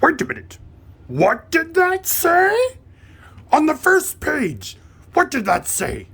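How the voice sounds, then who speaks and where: A man voicing a lovable, easily frightened furry monster in a children's storybook puppet show, with a raspy voice and melodramatic delivery. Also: very high-pitched, wildly swooping pitch, fairly bright tone, heavily acted. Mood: excited, alarmed. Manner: melodramatic, drawn-out, emphatic delivery without contractions.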